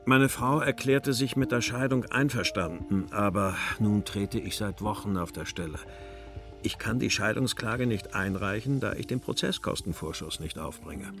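An actor voicing a weary, wry post-war writer reading his letters and poems in a measured, carefully articulated way. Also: fairly low pitch, music in the background, close to an everyday voice. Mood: sombre, weary. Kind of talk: literary reading of letters and verse for a radio feature.

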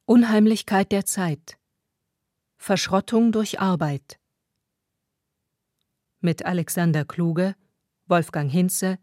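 A woman announcer reading scripted radio credits in a measured, list-like way. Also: on the low side, warm tone, no echo, clean studio sound. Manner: measured, list-like delivery of names. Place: dry radio studio.

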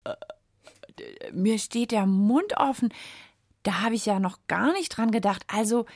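A woman's voice: medium pitch, lively intonation, measured pace, a little mellow, no room sound, studio quality. A woman co-hosting a children's science podcast in casual, playful, exclamatory banter.

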